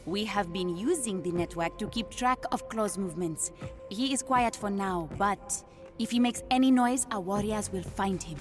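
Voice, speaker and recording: A woman playing a confident young African princess and scientist in a video game, with an African accent and a brisk, precise delivery. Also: mid-range voice, lightly acted. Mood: brisk, businesslike. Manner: brisk, self-assured, precise. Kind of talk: cinematic video game acting. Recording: prominent background music.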